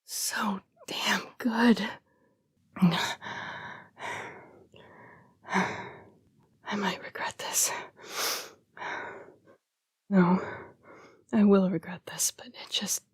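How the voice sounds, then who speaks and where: A woman voicing an apologetic, protective yet predatory female vampire fighting her bloodlust in an intimate audio roleplay, with hushed, formal, halting speech. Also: mid-range voice, steady tone, dry recording, full character voice. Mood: ravenous, remorseful. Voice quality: breathy, whispery. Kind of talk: intimate scripted audio roleplay addressed to the listener.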